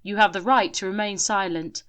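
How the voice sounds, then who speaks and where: A woman's voice: medium pitch, fairly even intonation, moderate pace, a bit bright, natural voice. A woman voicing a blunt male police officer in a spoken story, curt and official.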